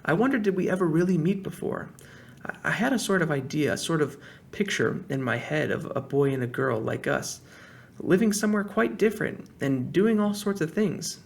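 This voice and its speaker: A man's voice: lively intonation, moderate pace, vocal fry. A man voicing a sensible young girl. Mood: wondering. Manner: higher, softer girlish voice.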